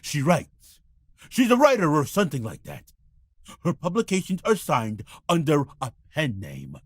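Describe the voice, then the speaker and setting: Guilt-ridden man, slow delivery, wildly swinging pitch, husky, pleading, video game drama. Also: huge pitch swings, dry recording, clean studio sound, heavily acted. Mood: somber confessing. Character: guilt-ridden desperate man confessing, begging to die. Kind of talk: dramatic game cutscene acting.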